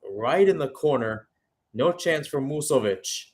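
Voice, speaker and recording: A man's voice: mid-range voice, lively intonation, unhurried. A man giving live radio-style play-by-play commentary on a football match, with exclamatory phrasing and rhetorical questions.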